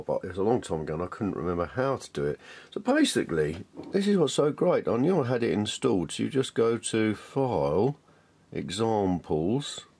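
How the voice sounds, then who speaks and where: Low-voiced man, enthusiastic DIY demonstrator. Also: a little mellow, slightly rough. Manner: enthusiastic, chatty. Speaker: DIY tutorial presenter. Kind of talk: informal hands-on demonstration, thinking aloud.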